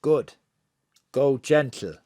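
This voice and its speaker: A woman's voice: rumbling low, takes it slowly, warm tone, no echo, studio quality. A woman playing a secretive, conspiratorial member of a secret society in an audio drama, speaking cryptically.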